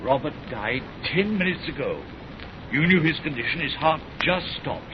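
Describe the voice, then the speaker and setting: Actor as a grave doctor, dramatically swooping voice. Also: male voice, a little high, animated, moderate pace, natural voice. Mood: grave urgency. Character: grave, urgent doctor bearing terrible news. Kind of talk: 1930s radio horror acting. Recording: muffled, noisy old radio recording.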